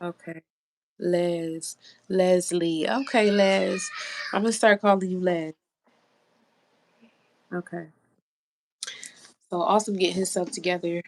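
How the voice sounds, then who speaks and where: A woman's voice: fairly low pitch, fairly even intonation, takes it slowly, long pauses, a little mellow, a little hiss or hum. A woman hosting a casual live stream who sounds a little stuffy. She chats with her viewers and reads their comments aloud. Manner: chatty, talks to viewers in the comments. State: stuffy nose. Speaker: livestream host.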